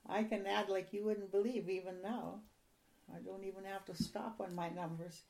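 An older woman from a Ukrainian-speaking home, reminiscing in an oral history interview in a soft, halting, rambling way. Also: medium pitch, fairly even intonation, vocal fry, slight background noise, poor audio.

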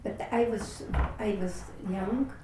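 An older woman with a Latvian accent, her first language, telling her life story in an oral history interview. She speaks haltingly, searching for words and restarting often. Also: medium pitch, music in the background. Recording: roomy echo, distant mic, faint hiss.

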